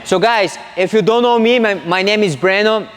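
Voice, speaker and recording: A man's voice: high-pitched, normal speed, smooth. A man in his twenties with a Brazilian accent, a jiu-jitsu instructor talking casually and earnestly, with encouraging emphasis. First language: Portuguese. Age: 20s. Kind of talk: casual instructional talk to camera and class. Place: large indoor martial arts gym. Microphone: small mic clipped to chest.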